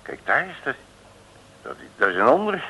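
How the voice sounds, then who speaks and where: A man's voice: medium pitch, big pitch swings, normal speed, bright, forceful tone, slightly rough, full character voice, poor audio. A man playing a brash, chummy detective in a comic radio play, slangy and blustering in an informal, folksy way. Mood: indignant, baffled.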